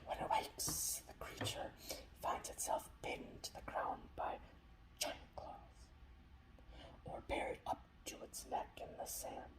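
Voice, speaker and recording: Male ASMR creator, breathy whisper with playful halting repeats, recorded roomy and slightly distant. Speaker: ASMR creator showing painted miniatures and reading lore.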